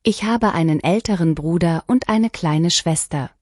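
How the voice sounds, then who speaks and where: A woman reading short lesson sentences one at a time, each clearly and deliberately, for learners to repeat. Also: lowish voice, darkish tone, no room sound, studio quality. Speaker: language lesson reader. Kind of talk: scripted shadowing practice reading.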